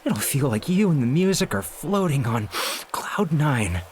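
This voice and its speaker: A man voicing a shady, pushy drug dealer in a video game, slick and coaxing but quick to get defensive. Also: fairly high pitch, big pitch swings, a little hiss or hum.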